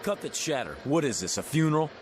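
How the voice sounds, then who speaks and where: Brash, high-pitched hustler, animated. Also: male voice, fast talker, background noise. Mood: sullen, irritable. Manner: slangy, combative, wisecracking. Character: brash, bitter working-class hustler, a sore-losing gambler. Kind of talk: radio drama acting.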